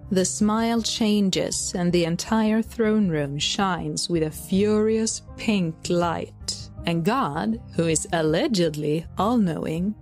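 A woman narrating a satirical audio drama in a wry, measured way. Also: mid-range voice, darkish tone. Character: wry female storyteller of heavenly satire.

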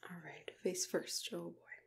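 Softly whispering young woman, ASMR roleplay. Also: on the low side, flat delivery, vocal fry. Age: young adult. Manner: gentle, unhurried, reassuring. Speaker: ASMR roleplayer playing a dentist.

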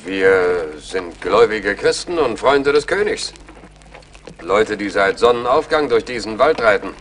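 An actor playing a courteous, earnest English army major in a radio play, speaking in a formal manner. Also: male voice, fairly low pitch, lively intonation, measured pace, a bit bright, slight background noise. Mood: formal, polite. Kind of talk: German radio play acting.

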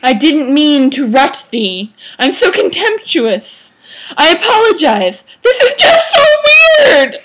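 A young woman voicing a melodramatic, anguished young male vampire who speaks loudly in archaic phrases, in a fan fiction reading. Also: sits high, slow pace, pitched above the performer's own voice, rough recording. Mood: anguished, apologetic. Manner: overwrought, loud, archaic phrasing.

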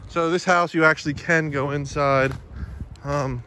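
A man with a bright, forward voice gives a casual walk-along commentary as a hiking vlogger. His delivery is unpolished, halting and matter-of-fact. It is recorded on a phone out in the woods, with faint ambient noise behind him. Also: a little high, fairly even intonation. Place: outdoors in woods by a river.

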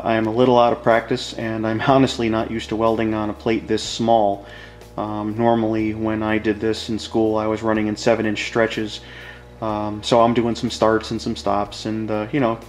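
Low-voiced man giving a shop tutorial. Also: completely flat delivery, normal speed, soft background music. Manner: casual, informal, addresses viewers as guys. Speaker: welding instructor demonstrating. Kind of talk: hands-on tutorial explanation.

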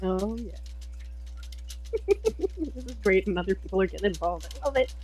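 A woman's voice: mid-range voice, fast talker, a little mellow. A woman roleplay partner, chatting casually and narrating, on an internet voice call. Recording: faintly noisy internet call with clicks.

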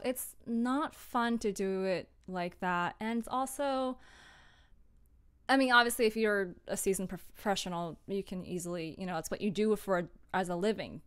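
A woman's voice: on the high side, clean tone. A young adult woman giving an informal, chatty livestream tutorial from a room at home, with a self-deprecating, giggly manner. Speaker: audio drama creator and livestream host. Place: small home room, indoors.

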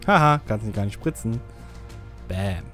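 A man casually commentating a co-op survival game with a friend, joking and bursting out loudly during fights. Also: fairly low pitch, normal speed, faint music behind. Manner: jokey, excitable outbursts while fighting. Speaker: gameplay commentator. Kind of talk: casual co-op gameplay chat.

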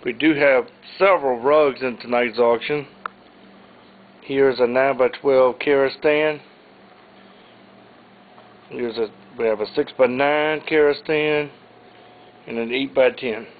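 Man listing auction items, tinny audio. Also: mid-range voice. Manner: plain, unhurried item-by-item listing. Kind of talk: informal walkthrough narration. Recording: tinny phone-like audio, faint hiss.

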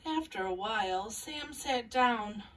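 A woman in her thirties hosting a children's storytime, reading and introducing a picture book in a measured way with pauses. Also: on the high side, animated, a bit bright. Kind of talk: scripted introduction and picture-book read-aloud. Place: small furnished room at home, indoors. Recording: voice a little far from mic.

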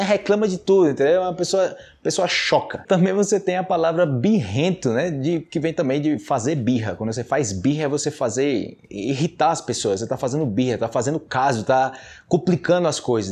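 A man in his thirties with a Brazilian Portuguese accent, teaching vocabulary to camera in a lively, gesturing way, recorded indoors on a small clip-on mic. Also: a little high, husky. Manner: animated, gestures constantly, acts out example phrases. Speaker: language teacher. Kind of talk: energetic video lesson to camera. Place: small indoor home studio. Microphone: small mic clipped to shirt.

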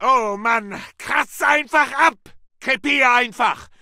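A man's voice: very high-pitched, slow, gravelly, sharp tone, no room sound, studio quality, full character voice. A man playing an aggressive, battle-hardened WWII German infantry soldier, barking urgent, clipped combat lines for a video game. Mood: vicious.

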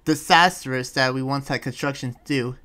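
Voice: medium pitch, moderate pace, bright, forceful tone, natural voice. A performer improvising a polite, awkward young villager in a Minecraft roleplay, talking in a hesitant, rambling way. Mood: weary, casual.

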